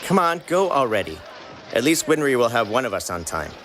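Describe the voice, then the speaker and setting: A man's voice: medium pitch, very animated, a little fast, fairly bright tone, a little hiss or hum. A man voicing a cocky, impatient teenage boy in a fan fiction reading, offhand and dismissive.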